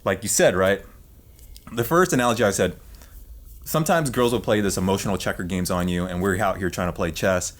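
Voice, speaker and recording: Low-voiced man giving insistent advice. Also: brisk. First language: Vietnamese. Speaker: podcast co-host. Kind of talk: casual reaction chat.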